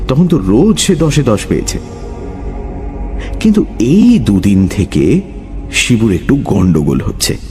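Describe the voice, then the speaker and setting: Slow, dramatic male horror storyteller, very expressive. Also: mid-range voice. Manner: suspenseful, deliberate pauses, expressive. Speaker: storyteller, narrator.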